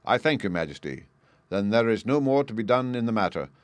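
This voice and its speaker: Male reader as a cool, formal detective; low, clipped, dry. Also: expressive, fairly quick, no echo, lightly acted. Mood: cold.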